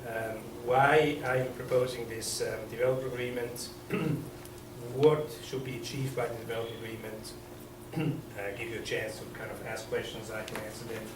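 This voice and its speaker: A man's voice: mid-range voice, fairly even intonation, moderate pace. A man with a Swiss accent, a developer presenting to a city workshop, hesitant with many ums and restarts. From Switzerland. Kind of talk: presentation at a public meeting. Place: council chamber. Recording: echoey meeting room from far off, noisy.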